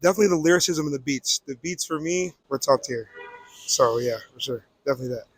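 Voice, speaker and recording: A man from Connecticut with an American accent, answering a street interview outdoors in a relaxed, easygoing way. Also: mid-range voice, fast talker, husky, dry recording, low-quality recording. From Connecticut, USA. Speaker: interviewee, visitor.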